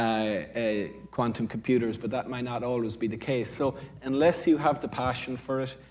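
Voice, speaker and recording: A man's voice: medium pitch, a little mellow. A man with an Irish accent answering as a panel speaker from a business school, discursive and often rephrasing mid-sentence.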